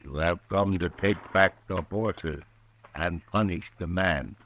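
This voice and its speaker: A proud chief, deep and slow, short formal lines. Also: male voice, a bit bright, exaggerated voice. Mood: stern. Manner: slow, formal, clipped speech. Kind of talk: 1950s radio drama acting.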